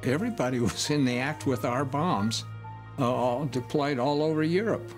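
A man's voice: medium pitch, moderate pace, music in the background. An American man recollecting in a documentary interview.

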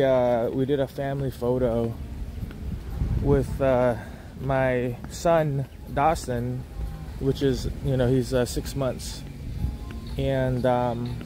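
A young man walking through a beach resort, talking casually into a phone on a gimbal, rambling with many fillers and restarts. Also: mid-range voice. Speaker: travel vlogger. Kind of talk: casual walking tour commentary.

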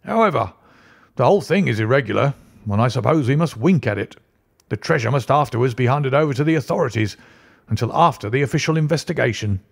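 A man's voice: medium pitch, animated, quick pace, gravelly, breathy edge, pitched above the performer's own voice. A man reading an audiobook, voicing a bluff, hearty middle-aged police inspector with an emphatic manner. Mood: grudging.

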